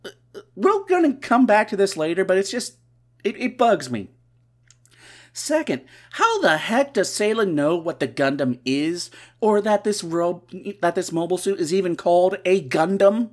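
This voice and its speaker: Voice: medium pitch, sing-song, moderate pace. A podcast host with a stuffy, nasal voice, recapping a story in a casual way with wry, sarcastic asides. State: stuffy nose.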